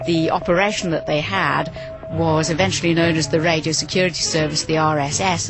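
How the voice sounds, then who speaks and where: Slightly low-voiced woman explaining, faint music. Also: rough recording. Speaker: museum trust director being interviewed. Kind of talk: measured explanatory interview.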